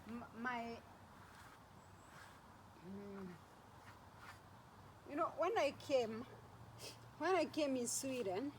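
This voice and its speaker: A woman's voice: on the high side, animated, extremely slow, pauses often, slight background noise. A woman from Kenya with a Kenyan accent chatting casually in a rambling vlog, repeating phrases for emphasis. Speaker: vlogger.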